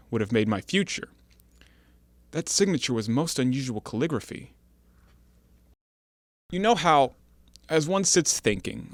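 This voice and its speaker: An actor playing an uneasy, defensive ex-convict in an audio play, speaking formally as if forever excusing himself. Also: male voice, mid-range voice, a bit bright, dry recording. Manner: formal, elaborately self-excusing. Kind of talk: stage-play dialogue in an audio drama.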